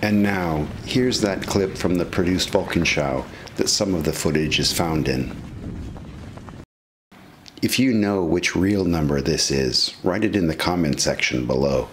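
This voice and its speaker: Low-pitched male documentary narrator. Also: measured pace, background noise. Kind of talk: scripted historical documentary narration.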